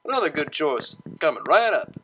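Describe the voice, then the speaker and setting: A woman's voice: low-pitched, sing-song, normal speed, background noise. A woman voicing a courteous, drawling male stallion waiter in a pony story.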